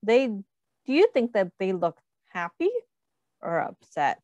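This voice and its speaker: A woman reading a picture book aloud to young children, expressively, pausing to explain and ask questions. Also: medium pitch, very animated, a little mellow, no echo, highs cut off. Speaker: read-aloud host, teacher.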